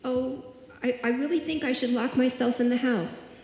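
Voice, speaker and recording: A woman's voice: high-pitched, steady tone, normal speed, a little mellow. A woman acting an anxious, easily alarmed friend in an old time radio suspense play, dramatic and fretful. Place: live stage performance in a hall. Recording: very echoey, noisy live hall.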